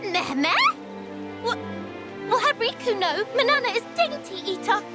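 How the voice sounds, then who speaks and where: Squeaky, melodramatic little game critter. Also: female voice, expressive, moderate pace, loud music behind the voice. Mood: flustered, defensive. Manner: broken third-person speech, melodramatic exclamations. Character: gluttonous excitable small female creature, theatrically indignant. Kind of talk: video game voice acting.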